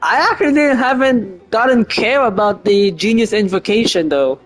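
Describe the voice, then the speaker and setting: A woman streaming a game with casual, informal, reactive commentary and an excitable manner. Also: mid-range voice, normal speed, faint music behind.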